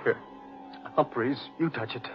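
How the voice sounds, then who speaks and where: An actor playing a curious, sceptical gentleman explorer in a theatrical 1940s radio thriller style with old-fashioned exclamations. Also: mid-range voice, a little slow, close to an everyday voice. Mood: rattled. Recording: muffled 1940s radio recording, music behind.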